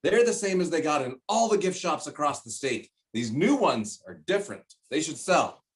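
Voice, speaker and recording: A man's voice: on the high side, sing-song, recorded from a distance. A man playing a bossy, greedy middle-aged shopkeeper father in a stage play, blustering and drawing out his words. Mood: bossy, impatient. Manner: blustering, emphatic, drawn-out words. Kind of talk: stage play acting over video call.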